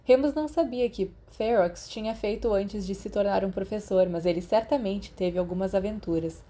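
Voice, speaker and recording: A Brazilian woman narrates an audiobook in a soft, mellow voice. Her reading is scripted storytelling, at a medium pitch with a dark, relaxed tone. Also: moderate pace. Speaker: podfic narrator.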